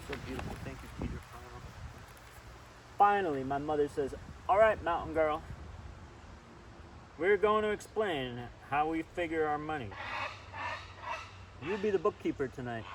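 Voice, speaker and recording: A man's voice: on the high side, a little slow, pauses often. A man reading a story aloud informally and chattily, with asides of his own, recorded outdoors. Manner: chatty, easily sidetracked, commenting as he reads. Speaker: storybook reader. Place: outdoors by a river. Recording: distant outdoor mic with steady background noise.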